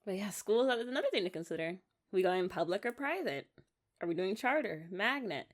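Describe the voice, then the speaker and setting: A woman's voice: mid-range voice, lively intonation, brisk, lightly acted. A young American woman playing an eager, affectionate wife in an intimate ASMR romance roleplay, rambling and coaxing in a giggly way. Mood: chatty, teasing.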